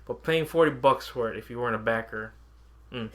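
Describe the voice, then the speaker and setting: A man's voice: mid-range voice, fairly quick, fairly bright tone. A man co-hosting a casual video game podcast, often chuckling as he talks. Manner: giggly, frequently chuckles while talking. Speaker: podcast co-host.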